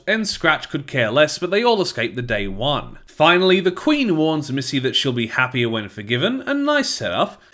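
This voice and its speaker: Animated male reviewer with lively pitch. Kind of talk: scripted review narration.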